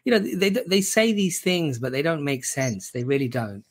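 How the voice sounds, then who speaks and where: A man's voice: on the high side, very animated, moderate pace, breathy edge. A man hosting a live call-in stream, talking conversationally, affirming his callers and repeating himself as he guides them. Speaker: host.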